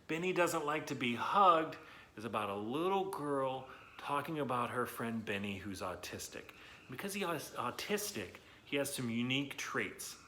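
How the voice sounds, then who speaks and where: A man hosting a read-aloud lesson for children, talking in an animated, chatty, encouraging way with emphatic words and questions to his listeners. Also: medium pitch. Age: adult, not young.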